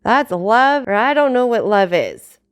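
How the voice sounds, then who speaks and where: Caderousse: woman reading a boastful drunk man, loud and slurring, storybook. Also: a little high, very animated, a little slow. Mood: boisterous. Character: tipsy boastful male sailor, loud.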